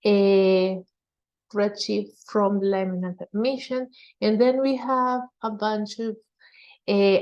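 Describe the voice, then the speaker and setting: Slow-paced, mellow woman presenting research. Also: medium pitch, lo-fi sound. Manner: halting, explanatory. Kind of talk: scientific talk.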